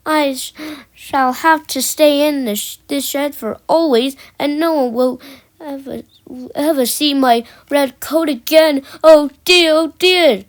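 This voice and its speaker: A woman's voice: very high voice, measured pace, pitched above the performer's own voice. A woman voicing a proud, eager male engine who is easily upset, in a children's storybook reading. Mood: tearful, self-pitying.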